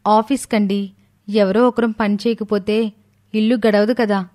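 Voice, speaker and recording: A woman voicing a devoted, sensible young wife in an animated story, earnest and reassuring. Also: medium pitch, unhurried, natural voice.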